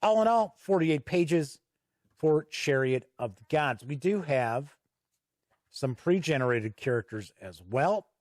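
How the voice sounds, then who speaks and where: A man hosting an unscripted review walk-through, explaining things in a measured way with deliberate pauses. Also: fairly high pitch, expressive, darkish tone, no echo, studio quality. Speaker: host and reviewer.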